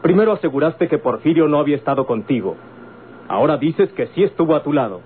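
Actor as stern, formal Mexican gentleman. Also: a little high, expressive, quick pace, lightly acted. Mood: stern, pressing. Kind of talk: golden-age Mexican radio drama acting. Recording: tinny, noisy old radio recording.

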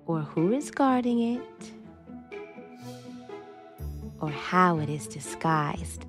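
A woman reading a children's picture book aloud expressively, dramatically stretching words and dropping into breathy whispers. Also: on the low side, expressive, takes it slowly, music in the background. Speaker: storytime reader and channel host.

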